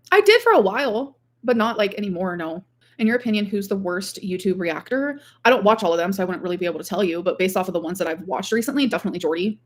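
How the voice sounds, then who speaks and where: Woman livestreamer rambling casually to her viewers, says like a lot, medium pitch. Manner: rambling, frequent "like". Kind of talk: casual livestream chat, reading out viewer comments.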